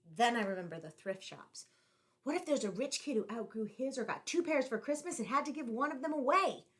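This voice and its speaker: A woman reading a picture book aloud to children in an expressive, deliberately phrased storytelling style, like a teacher. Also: on the high side.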